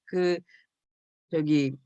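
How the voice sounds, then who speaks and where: A woman's voice: sits low, fairly even intonation, very slow, dark tone, clean tone, no room sound, lo-fi sound. Chatty woman host, dry sound. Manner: friendly, chatty, laughs easily. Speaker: meeting host introducing a guest. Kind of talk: chatty host introduction on a video call.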